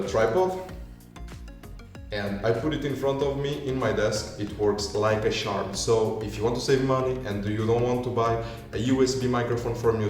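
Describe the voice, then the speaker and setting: A deep-voiced man casually walking viewers through gear as a video creator, with non-native English phrasing. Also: a little mellow. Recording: echoey room, far from mic, music behind. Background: music.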